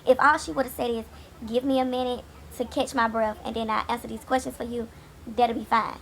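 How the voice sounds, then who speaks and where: Woman, raspy gravelly voice, a bit high, blunt and sassy, casual show recap, faint hiss behind. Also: level tone, fairly quick, fairly bright tone. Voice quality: raspy from a cold. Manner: blunt, sassy, swears freely. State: hoarse, getting over a cold. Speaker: TV recap host. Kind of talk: casual episode review. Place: home, late at night.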